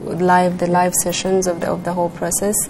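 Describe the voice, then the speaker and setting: A young woman who grew up in Uganda, speaking as a guest on a talk panel in a measured way with frequent restarts. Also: fairly low pitch, completely flat delivery, background noise. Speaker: guest, former pageant queen. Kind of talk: panel discussion.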